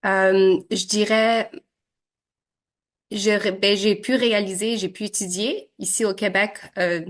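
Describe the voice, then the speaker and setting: A woman's voice: medium pitch, fairly even intonation, unhurried. A woman in her thirties from Syria, whose first language is Arabic, answering interview questions in a hesitant way with many fillers and restarts. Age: 30s.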